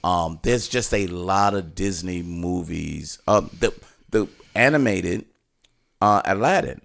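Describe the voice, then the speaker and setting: A man's voice: low voice, expressive, slow, vocal fry, fairly bright tone. A middle-aged man co-hosting a casual film review, talking in a rambling, opinionated way. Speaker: podcast host giving a film review. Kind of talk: casual conversational movie review.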